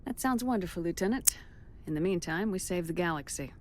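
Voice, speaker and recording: A woman playing a resolute, caring military commander in a video game, firm, measured and direct. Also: lowish voice, lively intonation, a little mellow, natural voice. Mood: warm, intimate. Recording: faint background ambience noise.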